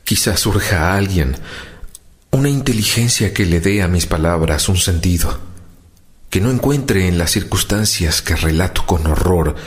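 Deep, slow, brooding tormented man. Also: lively intonation. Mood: tormented, grave. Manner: deliberate, intense, theatrical. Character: brooding, tormented man confessing dark deeds, coldly vengeful. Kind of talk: radio drama monologue.

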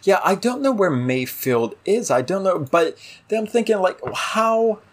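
A man's voice: high voice, sing-song, a little slow. A man co-hosting a casual TV review talk, ranting emphatically with exasperation and rhetorical questions. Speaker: podcast co-host reviewing a TV series. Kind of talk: casual opinionated episode discussion.

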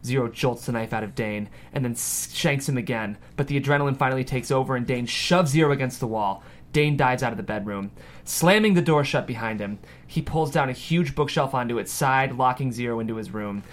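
A man's voice: medium pitch, brisk. A man reading scripted screenplay stage directions as the narrator of a table read.

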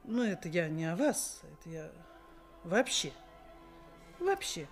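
A middle-aged woman's voice with big swoops of intonation and a very slow, deliberate delivery. She plays a genteel, probing mother of a bride in a radio drama, insinuating and theatrical. Quiet music runs behind the 1980s recording. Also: lowish voice, very animated, full character voice. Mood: knowing, condescending. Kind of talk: theatrical radio play acting.

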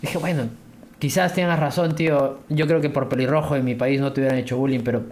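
A man with a Peruvian accent chatting casually on a podcast in an animated, rambling, slangy way. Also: mid-range voice, fast talker. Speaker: podcast host.

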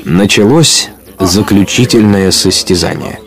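A man narrating a scripted storybook audio play in an expressive, literary storytelling manner. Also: low-pitched, unhurried, crowd noise.

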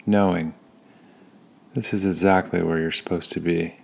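A man leading a guided meditation in a calm, soothing manner, pausing between short phrases. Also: sits low, creaky. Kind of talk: guided body scan meditation.

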